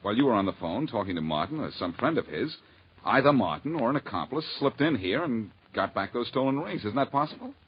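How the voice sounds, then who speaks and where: A man playing a wisecracking detective in a 1940s radio drama, talking briskly and wryly. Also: medium pitch, sing-song, husky. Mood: probing. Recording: muffled old radio recording.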